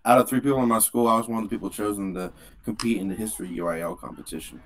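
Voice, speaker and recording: A man's voice: lowish voice, steady tone, very fast. A young man from Texas chatting on a group call, joking and putting himself down. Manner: self-deprecating, joking. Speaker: podcast guest. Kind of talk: casual group chat. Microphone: home mic on a group call.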